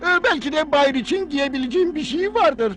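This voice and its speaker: A prim cartoon butler with an extremely high voice and swooping intonation. He is formal, fussy and easily flustered, with clear music playing behind him. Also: expressive, a little fast. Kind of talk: dubbed cartoon acting.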